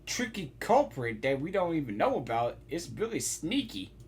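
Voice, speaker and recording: A woman's voice: deep voice, sing-song, normal speed, darkish tone, a little hiss or hum. A woman giving casual reaction commentary on a video game as she plays, often repeating her phrases. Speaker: reaction streamer.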